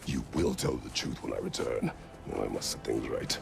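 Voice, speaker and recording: An actor playing a stern, protective warrior father in a video game, gruff and gravelly, speaking in terse formal commands. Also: moderate pace, a little hiss or hum, exaggerated voice. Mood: solemn. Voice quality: deep, gravelly. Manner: terse, commanding, formal phrasing without contractions. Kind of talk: dramatic video game acting.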